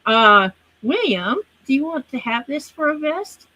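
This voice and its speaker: Hesitant woman with a high voice. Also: sing-song, unhurried, bright, forceful tone, no echo, poor audio. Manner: hesitant, thinking aloud with fillers. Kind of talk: casual chat.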